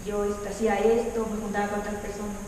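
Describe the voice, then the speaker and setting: A woman's voice: mid-range voice, flat delivery, fast talker, dark tone, distant mic, slight background noise. A young woman from Yucatán in Mexico, a podcast guest chatting casually, with a light Yucatecan lilt in her Mexican Spanish. She laughs often and acts out what her friends say. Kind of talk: casual chat. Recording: echoey room, voice a bit off-mic.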